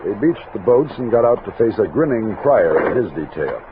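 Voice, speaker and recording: Low-voiced army captain, old radio. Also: male voice, very animated, moderate pace, narrow, tinny audio. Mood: reflective narrating. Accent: American. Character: steady, commanding army captain leading a frontier expedition. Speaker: lead actor and narrator. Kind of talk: old-time radio drama acting. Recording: thin, noisy vintage radio recording.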